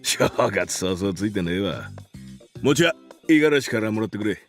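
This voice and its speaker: Visual novel gang boss: older man, deep-ish, gruff, affectionate male actor, music underneath. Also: animated, quick pace, close to an everyday voice. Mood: dry. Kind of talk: Japanese visual novel acting. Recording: game music behind.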